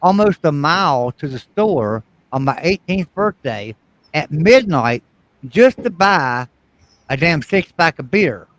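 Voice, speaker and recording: A man from with a slightly high voice tells emphatic stories in a casual chat. He leans hard into his punchlines and throws in mild cursing as he goes. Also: big pitch swings, unhurried, a bit bright, no room sound, rough recording. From West Virginia.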